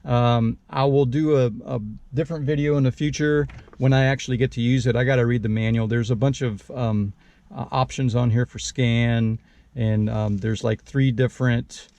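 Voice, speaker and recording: A man's voice: medium pitch, fairly even intonation, moderate pace, darkish tone, dry recording. A man giving a casual, unscripted product walkthrough as a channel host, in a hesitant, rambling way with frequent repeated words.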